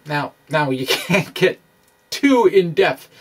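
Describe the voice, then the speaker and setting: A man giving an informal explanatory physics monologue in a halting, self-deprecating, conversational way. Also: a little high, animated, husky, distant mic. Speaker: amateur physics video blogger.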